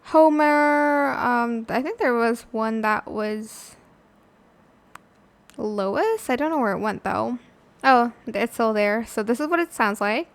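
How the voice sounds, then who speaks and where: A woman hosting a casual software tutorial, talking in a chatty way with plenty of "like" and "um" fillers. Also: on the high side, slow. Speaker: tutorial channel host. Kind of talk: casual screen-recording tutorial.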